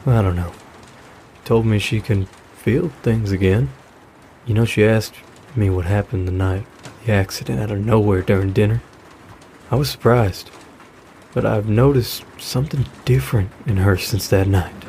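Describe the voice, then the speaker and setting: Actor as a grieving father, halting. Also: on the low side, mellow, a little hiss or hum, natural voice. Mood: subdued, candid. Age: middle-aged. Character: grieving, caring widowed father of a daughter. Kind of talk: naturalistic audio drama acting.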